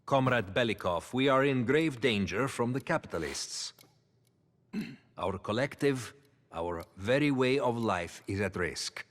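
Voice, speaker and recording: An actor playing a grave, authoritative Soviet leader in a video game, speaking in a measured, commanding way. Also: male voice, medium pitch, lively intonation, close to an everyday voice. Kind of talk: dramatic video game cutscene acting.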